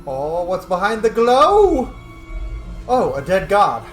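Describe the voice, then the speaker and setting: A man's voice: high voice, wildly swooping pitch, slow. Playful man gaming in an echoey room. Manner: playful, jokey. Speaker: gameplay streamer. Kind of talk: casual let's-play commentary. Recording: roomy echo, game music behind.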